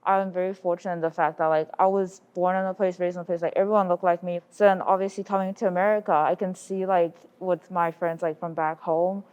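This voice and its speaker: A woman's voice: fairly low pitch, little pitch movement, brisk, few pauses, darkish tone, smooth. A woman from Zimbabwe, a student, speaking in a casual panel discussion in a rambling way, filling nearly every phrase with "like". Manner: rambling, constant "like" fillers. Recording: dull lo-fi sound.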